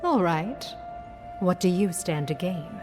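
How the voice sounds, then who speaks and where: Casting: world-weary female protector in a fantasy game, slightly low register, dry, measured and wary. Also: sing-song, music in the background. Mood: wry, softening. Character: guarded, wry, world-weary woman, hardened community protector. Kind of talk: video game voice acting.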